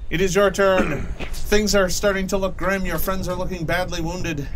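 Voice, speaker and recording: A man's voice: high-pitched, level tone, normal speed, background noise. A man running a tabletop game as game master, narrating off the cuff in a casual, joking way. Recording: music playing behind the voice. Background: music.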